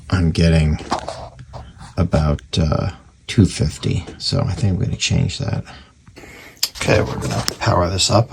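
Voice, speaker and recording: A man giving casual, hands-on repair commentary in a gravelly, husky voice, thinking aloud informally as he works. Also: low voice, a little hiss or hum. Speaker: radio repairer presenting his restoration.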